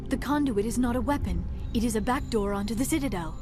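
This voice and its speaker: A woman's voice: on the high side, expressive, fast talker, over music, natural voice. An actress playing an earnest female companion in a space-opera video game, in scripted dialogue. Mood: explanatory.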